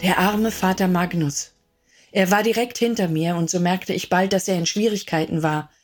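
A woman narrating a radio drama in the first person, recounting events quickly and sorrowfully. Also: lowish voice, fairly even intonation, faint music behind, natural voice.

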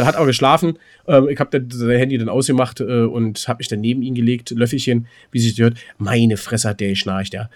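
A man's voice: mid-range voice, darkish tone. A man co-hosting a casual, rambling podcast, often stammering and repeating words with a jokey, teasing manner. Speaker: podcast co-host.

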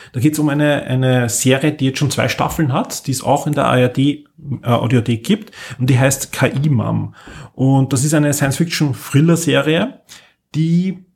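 A man's voice: mid-range voice, gravelly. A male podcast co-host with a mid-range voice. He talks casually and keenly, building long, wandering explanations as he recommends things to listeners. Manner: enthusiastic, rambling explanations. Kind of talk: casual podcast chat, recommending audio dramas.

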